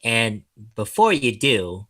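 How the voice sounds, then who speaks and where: A man performs a clumsy young huntsman-in-training who grumbles and whines at himself. The delivery is slow and hesitant, with a bright, strained edge and big swings in pitch, as in an animated-series audio drama. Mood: sheepish, grumbling. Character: sulky, self-deprecating, clumsy young male student huntsman.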